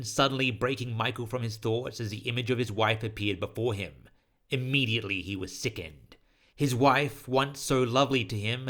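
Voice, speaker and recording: Bright, forceful male horror narrator. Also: mid-range voice. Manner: solemn, deliberate, literary storytelling. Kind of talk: scripted dramatic audio story narration.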